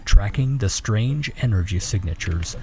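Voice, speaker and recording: A man's voice: on the low side, lively intonation, unhurried. A man narrating a scripted suspense story in a dramatic production.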